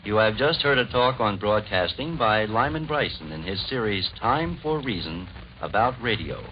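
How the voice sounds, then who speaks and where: A man's voice: on the low side, lively intonation, normal speed. An American-accented man reading a scripted announcement as a 1940s radio network announcer. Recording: dull, noisy 1940s radio recording.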